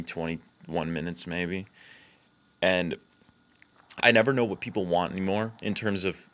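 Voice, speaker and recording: A man's voice: sits low, a bit bright. A man giving casual, unscripted commentary as a filmmaker, with a blunt, informal, sweary way of talking.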